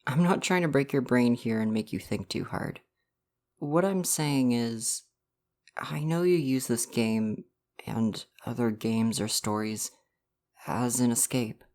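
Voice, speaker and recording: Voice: medium pitch, close to an everyday voice. A performer playing an affectionate visual novel boyfriend in an ASMR roleplay, earnest and reassuring. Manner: soft-hearted, reassuring, earnest. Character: gentle, affectionate dating-sim boyfriend come to life. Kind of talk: ASMR roleplay, personal affirmation.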